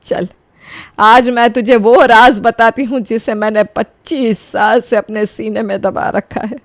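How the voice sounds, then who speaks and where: Lady doing an old village mother-in-law, sorrowful but resolute, highish voice, really dry close sound, audio drama. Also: steady tone, unhurried, dry recording, natural voice. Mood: grave. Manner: emphatic, emotional, solemn. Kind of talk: dramatic Hindi audio story acting.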